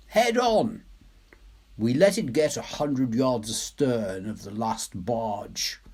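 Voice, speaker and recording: A man's voice: medium pitch, darkish tone, slightly rough, voice pushed up, exaggerated voice. A man voicing a chatty naval officer telling a war yarn in clipped, jaunty phrases, as in a storybook reading. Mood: animated. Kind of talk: storybook character monologue.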